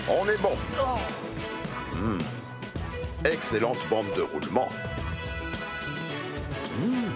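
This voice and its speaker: A man playing an exacting, jovial vehicle inspector in a children's cartoon dub, theatrical and deliberate, humming to himself. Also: medium pitch. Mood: brisk, exacting. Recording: loud music behind the voice. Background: music.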